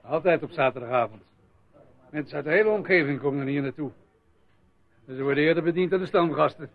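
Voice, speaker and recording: An actor playing a gossipy, grumbling village doctor in a radio play. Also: male voice, fairly high pitch, fast talker. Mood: chatty, grumbling. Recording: muffled, phone-like lo-fi radio play.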